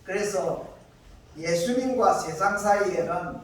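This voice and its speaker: A man preaching as a pastor, reading scripture and explaining it deliberately in short phrases. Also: high voice. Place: church hall. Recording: echoey church, distant mic, faint noise.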